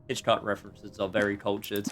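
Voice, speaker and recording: A man's voice: fairly low pitch, monotone, a little fast. A man giving casual, wry gameplay commentary as a streamer, joking at his own expense and thinking aloud. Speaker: streamer playing a game. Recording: faint game music behind.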